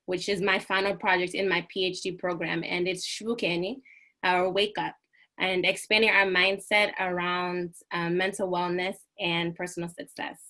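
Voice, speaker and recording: Bright, earnest young woman presenting. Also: on the low side, flat delivery, moderate pace. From United States. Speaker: panel speaker. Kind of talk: prepared presentation on a video call.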